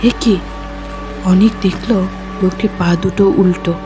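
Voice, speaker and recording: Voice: mid-range voice, fairly even intonation, unhurried. A narrator reading a scripted horror story. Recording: loud music under the voice. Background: loud background music.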